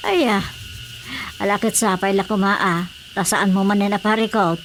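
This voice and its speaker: A woman's voice: mid-range voice, steady tone, normal speed, fairly bright tone, slight background noise, close to an everyday voice. A woman playing a questioning, mildly scolding middle-aged village wife and mother in a comic radio drama, with an Ilocano accent.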